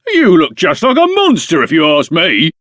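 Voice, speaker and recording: An actor playing an officious, insulting gate guard in a video game, haughty and scolding. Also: male voice, on the high side, huge pitch swings, normal speed, fairly bright tone, no echo, studio quality. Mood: contemptuous. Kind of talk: video game character acting.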